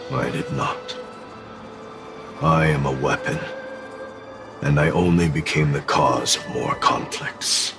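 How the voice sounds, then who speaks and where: Game role: ancient weary sword demon, extremely low and slow, solemn delivery over music. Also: male voice, full character voice. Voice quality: very deep, gravelly. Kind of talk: dramatic game dubbing. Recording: music under the voice.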